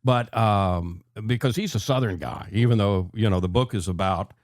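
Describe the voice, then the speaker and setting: Older male radio host, low pitch, folksy conversational delivery, close dry mic. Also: normal speed, darkish tone, no echo. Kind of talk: conversational talk radio hosting. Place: radio studio. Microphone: close studio microphone.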